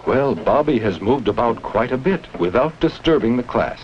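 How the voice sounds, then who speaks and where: A man's voice: mid-range voice, animated, moderate pace. A man reading scripted narration for a 1950s educational film, instructing children with simple questions. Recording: muffled, noisy 1950s educational film soundtrack.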